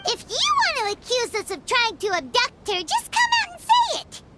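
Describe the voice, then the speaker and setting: A woman voicing a tiny, outspoken, childlike companion in an anime game, perky, blunt and expressive. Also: extremely high pitch, moderate pace, heavy background noise, exaggerated voice. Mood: indignant.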